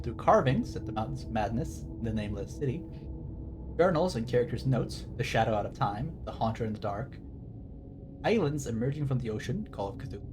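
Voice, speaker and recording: A man's voice: mid-range voice, animated, a little fast, over music, rough recording. A man giving casual livestream game commentary, digressive and wry as he explains trivia. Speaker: game streamer.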